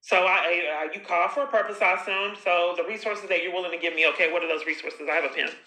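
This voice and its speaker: Woman arguing on a call, pushy and accusing, keeps repeating, sharp voice, echoey room. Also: lowish voice, fairly even intonation, fast talker. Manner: accusatory, insistent, repetitive. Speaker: caller recording her own phone call. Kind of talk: confrontational phone conversation with long monologues.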